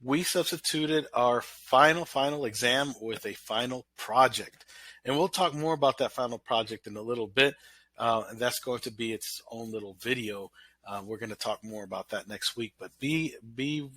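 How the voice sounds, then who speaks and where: A college instructor with a bright, nasal voice, recorded close and dry on a computer mic. He rambles casually through a course walkthrough, full of ums and restarts. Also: medium pitch, normal speed, no room sound, rough recording. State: nasal from illness.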